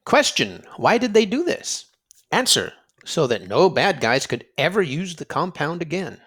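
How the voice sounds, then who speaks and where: A man reading aloud to children in an expressive storybook style, dramatic and emphatic, drawing out words for effect. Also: a little high, unhurried, breathy edge. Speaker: children's read-aloud narrator and quiz host.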